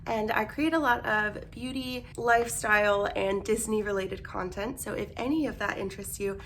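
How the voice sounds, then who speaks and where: A young woman vlogger chatting casually through an unboxing, bubbly and gushing, her voice hoarse as she loses it. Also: medium pitch, normal speed, a bit bright, a little hiss or hum.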